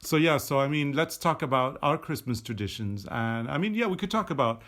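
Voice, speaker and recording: A chatty middle-aged man from Sweden, a native Swedish speaker, talks in a relaxed podcast chat. His pitch sits at an ordinary middle level. He explains things at length, with plenty of fillers and restarts. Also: brisk. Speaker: podcast co-host. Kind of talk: casual chat while knitting. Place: indoors at a table.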